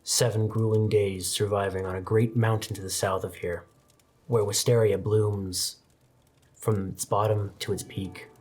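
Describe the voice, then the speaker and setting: A woman voicing a gruff but caring male sword master, solemn, measured and dry in manner. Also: very deep voice, flat delivery, darkish tone, exaggerated voice.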